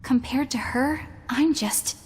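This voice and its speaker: A woman playing a lively, caring young woman in a video game, expressive and a little theatrical. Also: high voice, slow pace, slight background noise. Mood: admiring. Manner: expressive, animated. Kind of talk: animated video game dialogue.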